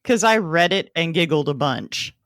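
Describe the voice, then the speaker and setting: A woman's voice: deep voice, animated, normal speed, a bit bright, dry recording. A woman co-hosting a book podcast, talking casually and with a playful, jokey manner. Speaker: podcast co-host. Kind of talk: casual book-club discussion.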